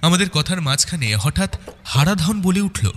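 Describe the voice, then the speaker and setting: A man's voice: sits high, big pitch swings, normal speed, big-room sound, slight background noise. A man narrating a detective audio story in a dramatic, scripted first-person style.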